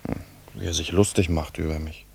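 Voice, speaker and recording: An actor playing a pompous, earnest and easily affronted young admirer in a theatrical, florid German radio drama style. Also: male voice, extremely low pitch, steady tone, brisk, vocal fry, a little mellow, breathy edge, no room sound, full character voice. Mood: scornful musing. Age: young adult.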